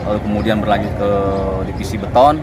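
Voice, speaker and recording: A male union representative gives a plain, matter-of-fact account in a statement to camera. He stands outdoors by a road, and loud traffic noise runs under his voice. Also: on the low side, fast talker.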